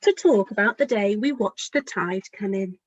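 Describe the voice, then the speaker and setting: A woman's voice: medium pitch, moderate pace, breathy edge, no room sound, highs cut off. A woman children's author reading a picture book aloud expressively, speaking directly to young listeners.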